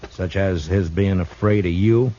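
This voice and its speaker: A man acting a calm, shrewd Old West marshal in a western radio drama, speaking in a dry, measured way. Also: deep voice. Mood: stern, probing. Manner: dry, measured, unhurried questioning. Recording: dull, noisy old radio recording.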